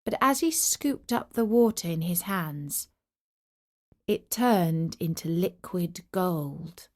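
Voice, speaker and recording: Woman, children's story narrator, expressive, animated pitch, slow pace, careful phrasing. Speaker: storyteller, host.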